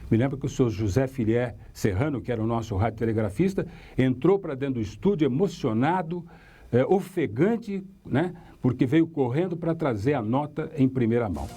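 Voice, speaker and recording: A man's voice: medium pitch, warm tone, breathy edge. An older man with a Brazilian accent, a veteran radio reporter reminiscing in an interview.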